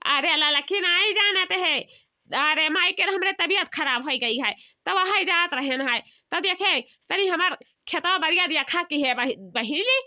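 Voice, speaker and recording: A woman's voice: sits high, dull audio. A woman playing a bossy, fretful village housewife in a comedy cartoon, chatty, scolding and repetitive, with an Awadhi accent.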